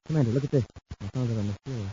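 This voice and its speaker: An actor playing an eager young space cadet and loyal sidekick in mid-century radio serial style, deferential and excitable. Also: male voice, medium pitch, racing, natural voice. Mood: eager, curious. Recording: muffled, hissy old radio broadcast.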